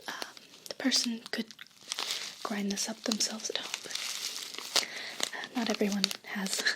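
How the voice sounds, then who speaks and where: Softly whispering woman, ASMR role play. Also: mid-range voice, low-quality recording. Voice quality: breathy whisper. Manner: gentle, unhurried, attentive customer-service patter. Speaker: ASMR performer playing a herb shop clerk. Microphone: close binaural microphone. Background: crinkling and rustling paper sounds.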